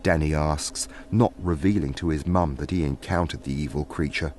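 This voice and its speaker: A man's voice: very deep voice, steady tone, normal speed, darkish tone, slight background noise. A man narrating a scripted fantasy story. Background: faint music.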